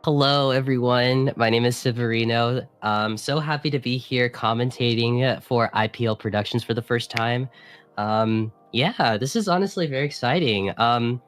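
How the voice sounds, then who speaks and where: Hoarse, raspy man commentating a game. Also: medium pitch, normal speed, fairly bright tone, faint music behind. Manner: hesitant, many fillers and restarts. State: hoarse from a cold. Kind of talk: esports commentary.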